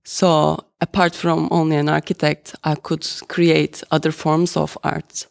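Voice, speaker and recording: An architect and artist in their thirties from Turkey, speaking with a Turkish accent in a deliberate, halting way on a panel. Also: mid-range voice, level tone, creaky. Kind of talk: panel discussion.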